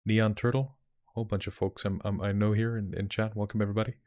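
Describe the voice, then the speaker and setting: A man's voice: fairly low pitch, level tone, fast talker, darkish tone. Icelandic-accented man chatting on stream. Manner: rambling, many restarts, answers chat. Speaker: streamer and dungeon master. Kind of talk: casual livestream chat with viewers.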